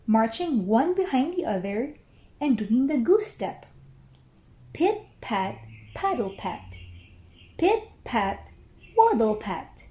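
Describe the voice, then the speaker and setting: A woman reads a children's story aloud with careful pauses. Her voice is fairly high and very expressive, taken at an unhurried pace in an echoey room. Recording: roomy echo.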